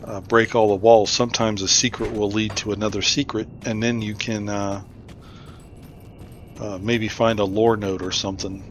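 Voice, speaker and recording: Low-pitched man over noisy game sound. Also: little pitch movement, moderate pace. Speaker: gaming video host. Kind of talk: casual game playthrough commentary. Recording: clear background game noise, rumbling effects.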